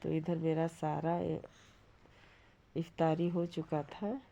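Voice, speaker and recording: A woman with a hoarse voice from a cold, giving a plain, rambling, casual voice-over for a home cooking vlog. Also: low voice, level tone, slow, dark tone. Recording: dull lo-fi sound, light background noise.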